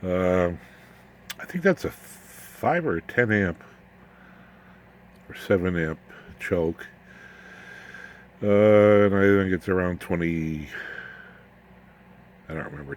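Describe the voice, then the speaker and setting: Low-voiced man in a casual tech demo. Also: a little hiss or hum. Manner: folksy, rambling, jokey asides. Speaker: amateur radio electronics video host. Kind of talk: casual off-the-cuff technical demonstration.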